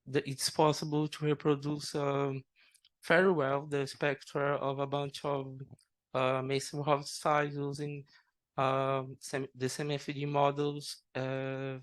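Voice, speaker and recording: A man answering audience questions after his conference talk, hesitantly and with frequent pauses. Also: medium pitch, monotone, no room sound. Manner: hesitant, many fillers and restarts. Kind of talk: academic Q&A.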